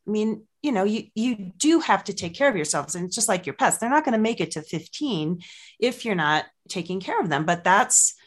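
Brief: woman, 50s, pet health expert, earnest podcast chat, lively delivery. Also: medium pitch. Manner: animated, earnest, swoops up into quoted voices.

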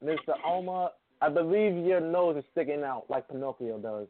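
A man talks bluntly and defensively in casual chat, his voice a little high. The sound comes through thin and narrow, like a phone call. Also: normal speed, slight background noise. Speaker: guest on call. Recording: tinny call audio.

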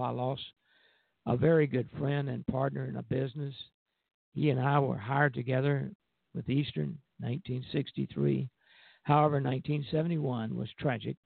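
An older man in his seventies or beyond hosting an informal radio show, reminiscing in a halting way. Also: mid-range voice, creaky, breathy edge, no echo. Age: 70s or older. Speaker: radio show host, retired airline pilot. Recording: tinny, narrow phone-line sound.

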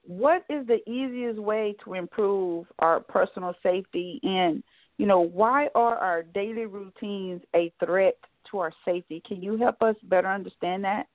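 A woman's voice: mid-range voice, moderate pace, no echo. A woman hosting a radio show, introducing her guest and asking him questions eagerly. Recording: dry, phone-like line.

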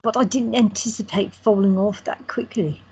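A woman playing a quiet, teasing photographer in her twenties, trading dry banter with her brother. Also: medium pitch, steady tone, moderate pace, natural voice. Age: 20s. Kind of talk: amateur audio drama acting. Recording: dull lo-fi audio.

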